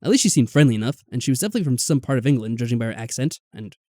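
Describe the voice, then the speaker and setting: Man, let's player, animated narration, soft dark tone, casual wry commentary, background music. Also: mid-range voice, very fast, no echo, studio quality. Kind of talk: reading a visual novel aloud with casual commentary.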